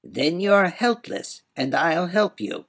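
A man's voice: on the high side, big pitch swings, takes it slowly, a bit bright, voice pushed up, heavily acted. A man voicing a whimsical, boastful, riddling old man in a storybook reading, with proud, sing-song, muddled wordplay. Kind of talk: storybook character voice in audiobook reading.

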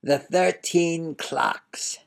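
A man voicing a cold, sneering old duke who taunts slowly and menacingly in an audiobook. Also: on the high side, steady tone, creaky, smooth, pitched above the performer's own voice, heavily acted. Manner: slow, menacing, mocking. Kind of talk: audiobook character voice.

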